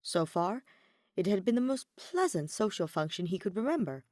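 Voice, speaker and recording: A woman narrating a story in an expressive audiobook style. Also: medium pitch, normal speed, no echo, studio quality. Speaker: podfic reader, narrator.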